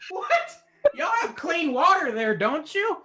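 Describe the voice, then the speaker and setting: A man's voice: very high voice, sing-song, takes it slowly, bright, forceful tone. A man on a gaming podcast, sardonic and swearing, often breaking into laughter. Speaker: let's play co-host. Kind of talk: casual podcast banter.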